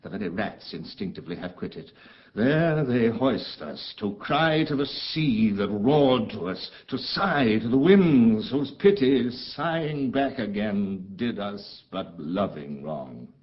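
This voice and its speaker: Bitter exiled duke, grave fatherly authority, deep voice, theatrical Shakespearean delivery, slightly dull recording. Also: expressive, slow. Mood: sorrowful. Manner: theatrical, grave, declamatory, with dramatic swells and hushes. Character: embittered, commanding exiled duke, father recounting betrayal. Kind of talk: classical Shakespearean stage acting.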